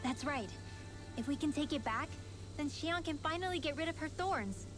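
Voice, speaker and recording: A woman's voice: high-pitched, lively intonation, normal speed. A woman voicing a hopeful, earnest young party member in fantasy video game acting. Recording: game music under the voice.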